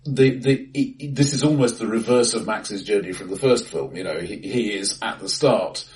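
A man with a fairly low voice discusses films in a casual podcast style. He sits slightly away from the microphone in an acoustically bright room. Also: moderate pace, recorded from a distance. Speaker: co-host of a film discussion podcast. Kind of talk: casual discussion, film commentary. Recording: bright echoey room, off-mic.

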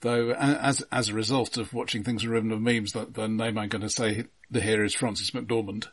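Husky man in casual podcast chat. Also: on the low side, steady tone, no room sound. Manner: halting, repeats opening words. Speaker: podcast co-host. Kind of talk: casual film discussion.

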